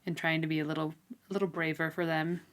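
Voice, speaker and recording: A woman with a slightly low voice talks in a loose, conversational way as a podcast co-host. She discusses a book informally, dropping "like" often as she goes. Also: level tone, fast talker. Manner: informal, frequent "like" as filler. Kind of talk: casual book discussion chat.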